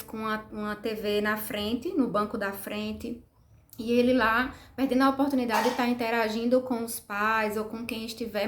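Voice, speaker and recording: A woman's voice: fairly high pitch, fairly bright tone. Didactic woman in echoey room. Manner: didactic, frequent checking "tá?", slows to stress words. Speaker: speech therapist. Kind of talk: explanatory talk to parents.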